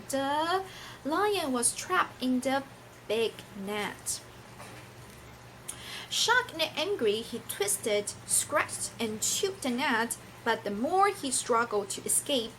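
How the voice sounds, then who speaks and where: A woman reads aloud to children in a high, hugely varied voice. She is a non-native English speaker with a theatrical, teacherly manner, taking her time and putting questions to her listeners. There is some faint noise behind her. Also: fairly bright tone, poor audio. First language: not English. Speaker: storyteller reading a picture book to children.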